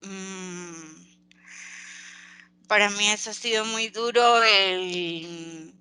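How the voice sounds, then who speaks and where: A Venezuelan woman speaking earnestly as an interview guest, pausing and restarting often. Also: mid-range voice, sharp tone, clean tone, dry recording. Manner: earnest, halting with many restarts and fillers. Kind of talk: interview over a call.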